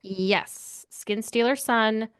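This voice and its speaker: A woman's voice: fairly high pitch, extremely slow, fairly bright tone, clear, resonant tone, dry recording, clean studio sound. A woman talking casually as co-host of a book club podcast.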